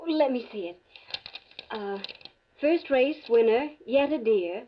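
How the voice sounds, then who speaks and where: High-voiced coaxing radio-drama fiancée. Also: female voice, expressive, slow pace, heavy background noise. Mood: intrigued. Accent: American. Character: young engaged woman, coaxing, practical, teasingly skeptical. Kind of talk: 1940s-50s radio drama acting. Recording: muffled vintage radio drama recording.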